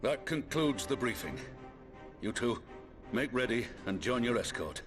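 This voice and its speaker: An actor playing a stern, composed military commander, formal and authoritative, in a video game cutscene. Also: male voice, mid-range voice, lively intonation, measured pace, lightly acted. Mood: grave, resolute. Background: prominent orchestral music.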